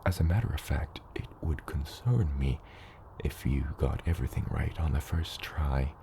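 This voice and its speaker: Man voicing a very deep, gravelly dragon father. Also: background noise. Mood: patient, reassuring. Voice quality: very deep, gravelly, partly whispery. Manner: slow, measured mentoring with hesitant asides. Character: patient, gently teasing adult dragon father teaching a hatchling. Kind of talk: ASMR roleplay, acted monologue to the listener.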